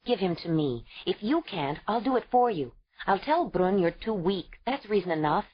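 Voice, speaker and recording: A woman's voice: medium pitch, animated, moderate pace, breathy edge. A woman voicing an older clan woman who firmly reasons with and admonishes her adopted daughter. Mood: firm gentle. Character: older clan woman, healer and mother figure, stern but grieving. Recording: dull lo-fi audio.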